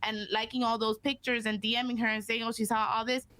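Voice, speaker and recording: A woman's voice: on the high side, level tone, a little fast, sharp tone, no echo, rough recording. A woman guest on a podcast, earnestly making her point in a discussion.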